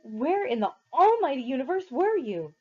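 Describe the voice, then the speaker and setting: A woman doing a furious young man shouting. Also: on the high side, lively intonation, brisk, pitched above the performer's own voice. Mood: angry scolding. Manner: shouting, scolding. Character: furious young man, stern older brother. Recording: dull lo-fi recording.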